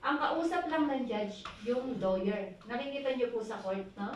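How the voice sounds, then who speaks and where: A woman's voice: a little high, animated. Filipino woman pastor preaching in an echoey church. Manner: preaching with call-and-response questions, reading scripture slowly. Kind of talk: sermon exhortation at a prayer meeting. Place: church hall. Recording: echoey hall from far off, faint noise.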